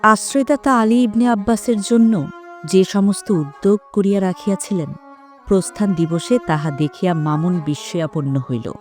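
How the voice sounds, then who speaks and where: A woman narrating an audio drama from a script in formal, measured literary language. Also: mid-range voice, a little mellow. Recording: music behind the voice.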